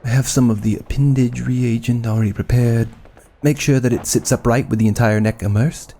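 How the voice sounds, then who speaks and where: A man's voice: mid-range voice, fairly even intonation, fairly quick, warm tone, slight background noise, lightly acted. A man playing an obsessive mad scientist and army surgeon in a horror audio drama, clinical and commanding, with gleeful fascination. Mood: cold, clinical.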